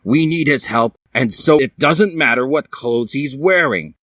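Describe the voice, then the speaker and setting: A man's voice: medium pitch, expressive, a little slow, a bit bright, dry recording, clean studio sound, pitched above the performer's own voice. A man voicing an earnest young male vampire hero in a fan fiction story, urgent and overwrought. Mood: exasperated.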